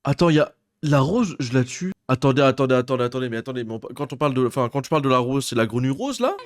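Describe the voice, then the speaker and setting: A man's voice: medium pitch, expressive, racing. A young man streaming a reaction to an anime, chatting casually with slang and jokes. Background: anime episode audio.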